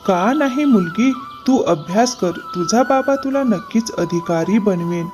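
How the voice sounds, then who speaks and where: A poor village cart driver and father, acted out by a woman, speaks humbly and earnestly in a cartoon moral tale. The pitch sits in a middle range, with music clearly playing underneath. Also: animated, unhurried, close to an everyday voice. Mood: gentle, reassuring. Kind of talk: cartoon moral-story dialogue. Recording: music bed behind the voice. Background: background music.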